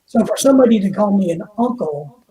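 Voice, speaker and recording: Casting: man with a mellow, dark voice, curious co-host, roomy echo. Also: high-pitched, animated, a little fast. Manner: curious, asks questions with careful hedging. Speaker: podcast co-host. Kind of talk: casual chat.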